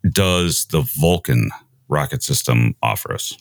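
Very deep, gravelly male podcast host. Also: lively intonation, unhurried, no room sound, clean studio sound. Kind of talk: conversational interview questions.

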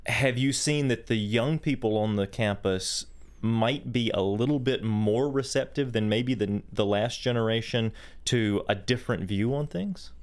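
A man's voice: mid-range voice, measured pace. A man hosting a studio talk show on faith, speaking in an emphatic, preacher-like way. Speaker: host.

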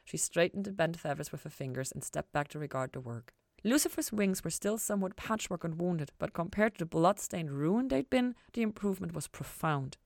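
A woman narrates fan fiction like an audiobook. Her voice is notably low in pitch, and she reads scripted prose in a dry recording with little room sound. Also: animated, fairly quick, creaky, few pauses, a little mellow, no room sound. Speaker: podcast host reading fan fiction.

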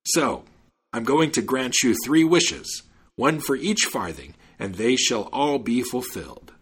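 A man voicing a kindly magical dwarf in a storybook tale, speaking in measured, solemn pronouncements. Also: medium pitch, animated, slightly rough. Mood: benevolent. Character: kindly magical male dwarf granting wishes.

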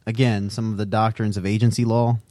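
A man's voice: on the low side, flat delivery, brisk, warm tone, no room sound. An American man co-hosting a casual podcast with a dry, wry, needling way of talking. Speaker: podcast co-host.

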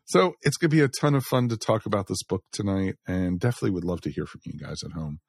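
Low-voiced American man hosting, dry sound. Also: fast, gravelly, slightly rough, no room sound. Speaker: podcast host. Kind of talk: casual, chatty podcast hosting.

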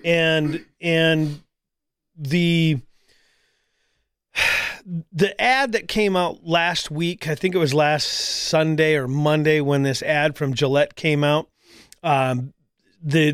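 A man's voice: fairly high pitch, slow pace, pauses often, fairly bright tone, no room sound. Chatty male talk show host, dry studio sound. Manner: chatty, rambling, frequent fillers and restarts. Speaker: podcast and radio show host. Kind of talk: casual talk show chat. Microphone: studio microphone.

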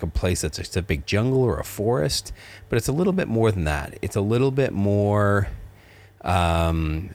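A middle-aged man with a very low, deep voice chats casually as a podcast co-host. He rambles, circling back with fillers and restarts as he explains ideas.

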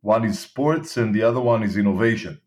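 A man in his fifties with an Israeli accent, a podcast guest speaking earnestly in short phrases with pauses and fillers. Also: on the low side, a little mellow. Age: 50s. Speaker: guest, startup founder. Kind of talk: conversational interview.

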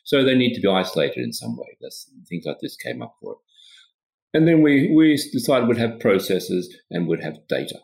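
A man's voice: very animated, creaky, a little mellow, poor audio. A man from Sweden who grew up in Australia, a guest explaining ideas over a remote call, hesitant with frequent restarts. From Sweden, raised in Australia. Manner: halting, restarts often, rising pitch on openers. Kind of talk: casual technical interview.

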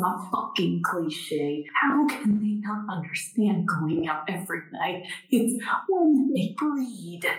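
An actress playing a bitter, obsessive young woman unravelling alone in an audio drama, speaking with brooding intensity. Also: mid-range voice. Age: young adult. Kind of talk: found-footage audio drama monologue. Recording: roomy, echoing sound.

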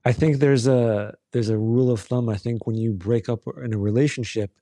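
A man co-hosting a podcast over a call, cracking deadpan teasing jokes in casual chat. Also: mid-range voice, a little fast, no echo. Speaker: podcast co-host.

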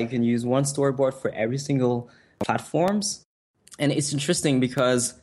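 Man, french first language, quick talker, restarts often, casual interview answers. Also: mid-range voice. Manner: fast, fluid, with frequent restarts and "like". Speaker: author and designer, interview guest.

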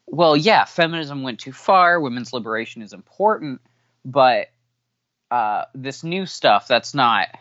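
Guy talking english as a second language, stops and restarts a lot, kinda dull low-fi audio. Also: expressive, fairly bright tone, no echo. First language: not English. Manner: halting, many fillers and restarts. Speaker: podcast guest. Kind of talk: interview answers, candid.

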